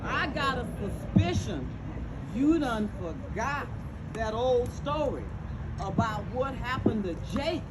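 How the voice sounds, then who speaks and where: An actress in a live dramatic reading, playing a skeptical, cautioning enslaved woman with a pointed, deliberate Southern American delivery. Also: on the high side, lively intonation, over a noisy crowd, rough recording. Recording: live stage recording with loud audience.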